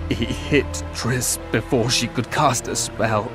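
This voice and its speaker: An actor playing a wounded elf gravely recounting events in a fantasy video game. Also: male voice, mid-range voice, fairly even intonation, takes it slowly, over music, close to an everyday voice. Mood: grave, pained. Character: wounded male elf witness, grave, pained.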